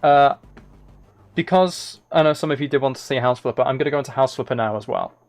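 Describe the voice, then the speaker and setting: A man's voice: mid-range voice, fast talker. A man live-streaming video games, chatting casually to his viewers and thinking aloud as he plays.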